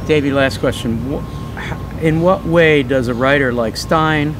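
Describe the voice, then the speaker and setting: A middle-aged man hosting a literary conversation outdoors with probing questions. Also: unhurried. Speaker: host, interviewer. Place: garden bench outdoors. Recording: outdoors with clear urban rumble.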